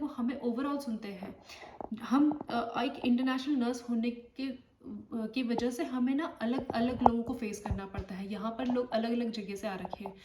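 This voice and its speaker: A woman's voice: fairly high pitch, darkish tone, distant mic. Woman nurse explaining in an echoey room. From India. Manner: explanatory, checks in often with "okay". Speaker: nurse and exam tutor. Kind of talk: casual spoken tutorial. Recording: echoey room, voice off-mic, faint noise.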